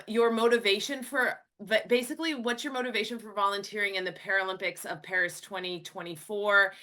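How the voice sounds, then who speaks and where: A woman's voice: mid-range voice, sharp tone. A woman hosting a podcast interview, encouraging her guest and laughing along. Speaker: podcast host.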